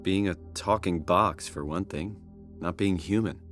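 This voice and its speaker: A man's voice: deep voice, measured pace, fairly bright tone, faint music behind. An actor voicing a questioning, earnest everyman hero in a video game, talking in a casual, wry way. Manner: casual, inquisitive.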